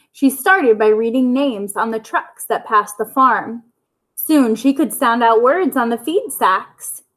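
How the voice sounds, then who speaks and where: A woman's voice: fairly high pitch, fairly bright tone. A woman reading aloud to children as a school storyteller.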